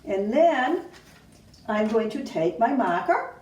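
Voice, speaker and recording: A woman's voice: fairly high pitch, big pitch swings, takes it slowly, darkish tone. A woman teacher giving simple step-by-step craft instructions to young children. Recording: roomy, distant mic, faint noise.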